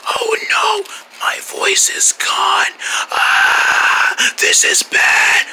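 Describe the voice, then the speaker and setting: A performer voicing a melodramatic king who has lost his voice, in a weak, hoarse, breathy whisper, as in a children's cartoon skit. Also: very high-pitched, completely flat delivery, extremely slow, raised voice, full character voice, low-quality recording. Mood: panicked, weak. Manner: melodramatic, panicky.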